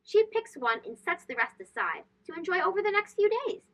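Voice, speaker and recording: A woman reading a picture book aloud to young children in an expressive, sing-song, emphatic way. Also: very high-pitched, a little fast. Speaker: children's read-aloud host. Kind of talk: animated storybook read-aloud for young children.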